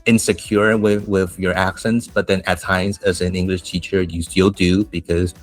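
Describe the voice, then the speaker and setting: A man with a Taiwanese accent who teaches English, chatting casually and telling animated stories with laughs and restarts. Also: sits low, normal speed, faint music behind. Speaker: English teacher, podcast host. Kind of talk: casual chat.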